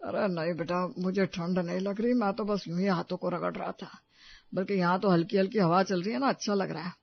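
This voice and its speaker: A man voicing a mild, easygoing older father-in-law in an animated family story. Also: high voice, a little fast, gravelly, highs cut off.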